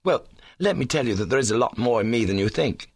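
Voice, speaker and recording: A man acts out a lovestruck young fellow from a comic storybook, lively and swooping in pitch. He talks in breezy slang, eager and earnest, in a close dry sound. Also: quick pace, slightly rough, no room sound. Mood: indignant. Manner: breezy, earnest, indignant when rebuffed. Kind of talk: comic short-story reading.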